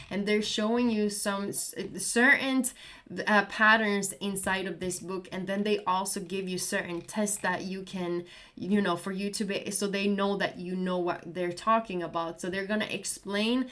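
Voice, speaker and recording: A woman's voice: medium pitch, a bit bright. A woman with a Swedish accent, Swedish being her first language, talking casually and earnestly to camera as a trading vlogger, encouraging and repeating herself. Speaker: crypto trading YouTuber. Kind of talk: casual recommendation talk to camera.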